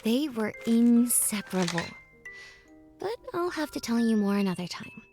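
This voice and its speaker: A woman playing a thoughtful, wistful young student leaving home, narrating a game's story in a gentle, reflective storybook style. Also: a little high, big pitch swings, moderate pace. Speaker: narrator and protagonist of a puzzle adventure game. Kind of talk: scripted first-person storybook narration. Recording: music behind the voice. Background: background music.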